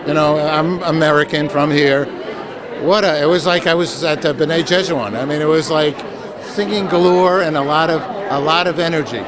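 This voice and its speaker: A chatty, upbeat interviewer asking guests questions at a crowded event. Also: female voice, deep voice. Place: crowded party with music. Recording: noisy loud music and crowd.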